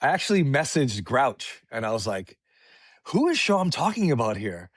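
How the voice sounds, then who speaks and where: A man's voice: medium pitch, big pitch swings, moderate pace, husky, no echo, clean studio sound. A Canadian man from Vancouver, a guest DJ talking in a laid-back way with frequent laughs and fillers. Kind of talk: casual interview chat.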